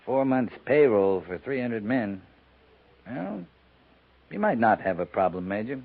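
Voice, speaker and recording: A man playing a steady cavalry captain in a 1950s radio western, laconic, dutiful and understated. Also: mid-range voice, measured pace, gravelly. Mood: wry. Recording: muffled vintage 1950s radio recording.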